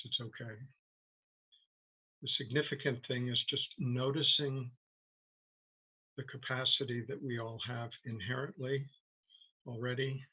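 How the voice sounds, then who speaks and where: Husky, even-toned man guiding meditation. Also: medium pitch, low-quality recording. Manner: gentle, unhurried, halting with long pauses. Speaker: meditation teacher. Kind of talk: guided meditation.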